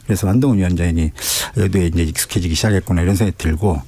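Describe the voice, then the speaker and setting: The kind of quick, slightly raspy male insider you'd hear breaking things down on a morning radio politics panel. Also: sits low, mellow. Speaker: political aide, panel guest. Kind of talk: casual radio panel commentary, explaining. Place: radio studio.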